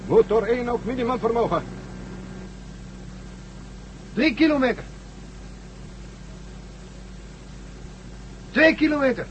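Curt, high-pitched spaceship commander, noisy recording. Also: male voice, expressive, quick pace, muffled recording. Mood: urgent orders. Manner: terse, authoritative. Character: brisk, curt male spaceship commander under pressure. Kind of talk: radio drama acting. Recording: old radio play, heavy engine noise.

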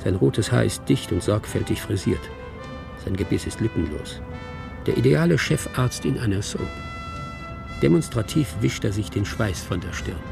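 Male narrator, low pitch, gravelly, detached, clipped screenplay narration, radio drama, music underneath. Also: animated, moderate pace. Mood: detached, suspenseful.

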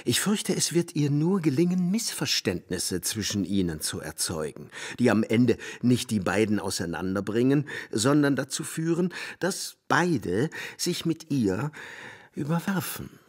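An actor playing an anxious, sympathetic scholar in a radio play, confiding in a husky, breathy voice. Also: male voice, medium pitch, sing-song, measured pace. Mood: worried, confiding. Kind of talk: German radio play acting.

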